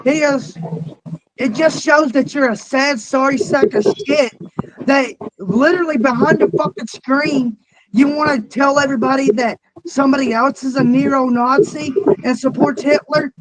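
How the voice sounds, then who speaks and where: A man's voice: extremely high pitch, steady tone, poor audio. A man in his twenties co-hosting a casual fan interview, blunt and heavy on the swearing. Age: 20s. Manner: profane and blunt, quick to rant. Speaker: podcast co-host.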